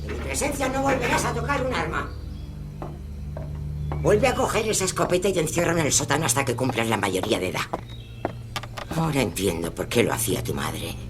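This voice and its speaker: Abuela severa y regañona, muy expresiva. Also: female voice, sits low, very animated, a little fast, long pauses, over music. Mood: scolding, bitter. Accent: Castilian Spanish. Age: older. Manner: scolding, nagging, sharp-tongued. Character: harsh, scolding, domineering old grandmother. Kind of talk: audio drama acting.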